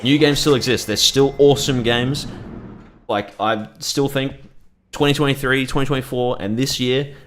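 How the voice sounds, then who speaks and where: Brief: male gaming streamer, profane rambling commentary, mid pitch. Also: fairly even intonation, moderate pace, a bit bright, a little hiss or hum. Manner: ranting, swearing, laughs often. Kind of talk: gameplay commentary.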